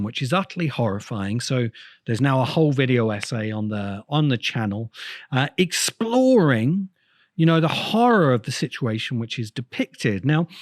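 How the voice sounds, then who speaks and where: A man giving informal, intellectual commentary as an essay host, thinking aloud with pauses and repeated words as he explains. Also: mid-range voice, no echo, clean studio sound. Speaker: host of a science fiction video essay channel. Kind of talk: informal spoken commentary and analysis.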